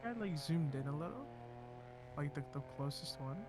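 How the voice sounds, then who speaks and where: A man's voice: fairly high pitch, expressive, normal speed, noisy background. A man chatting easygoingly while playing a game, giving friendly tips. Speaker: fellow player. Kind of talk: casual gaming chat.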